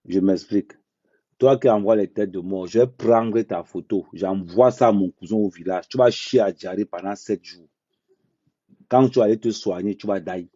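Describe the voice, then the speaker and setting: A man speaking bluntly in an unscripted live monologue, repeating phrases for emphasis and talking slowly and deliberately. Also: lowish voice, mellow, husky, dry recording. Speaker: social media commentator. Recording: dull lo-fi dry sound.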